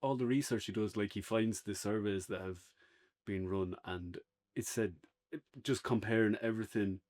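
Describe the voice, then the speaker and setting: A man's voice: fairly low pitch, moderate pace, darkish tone. An Irish man co-hosting a casual, unscripted review podcast, talking in an informal, conversational way.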